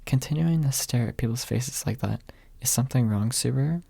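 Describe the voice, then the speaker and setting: Man voicing a gentle, breathy young woman. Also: medium pitch, fairly quick, no room sound. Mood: curious. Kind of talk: ASMR audiobook character voice.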